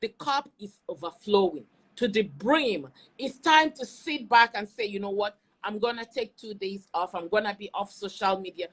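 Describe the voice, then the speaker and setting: A woman's voice: mid-range voice, lively intonation, moderate pace, few pauses, a bit bright. A woman hosting an opinionated livestream commentary, speaking emphatically and repeating phrases to drive her points home. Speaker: online video host and commentator. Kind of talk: opinionated social media commentary, livestream talk.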